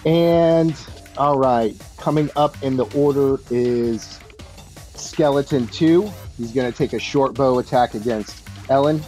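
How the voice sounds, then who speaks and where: A man's voice: medium pitch, dark tone. A man acting as game master, narrating tabletop combat turn by turn.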